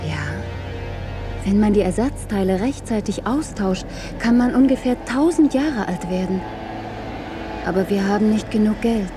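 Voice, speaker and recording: A woman's voice: mid-range voice, normal speed, over music, lightly acted. A woman acting as a loving, protective mother in a German radio play. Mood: calm, wistful.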